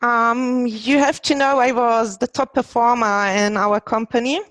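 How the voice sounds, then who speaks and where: Female podcast guest from Germany, thirties, recruiter, fairly high voice, dry headset sound. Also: steady tone, unhurried, no room sound. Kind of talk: conversational interview. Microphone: headset on a video call.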